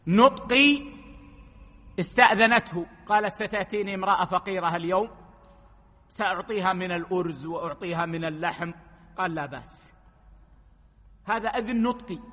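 A man teaching a religious lesson, explaining rulings step by step and quoting sayings with slow emphasis. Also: high voice, sharp tone, big-room sound. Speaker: preacher.

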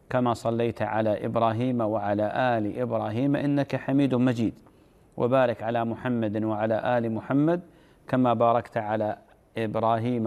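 Fast-talking gravelly male religious scholar. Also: medium pitch, a little mellow. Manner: reassuring, measured. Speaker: scholar answering religious questions. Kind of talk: call-in religious advice show.

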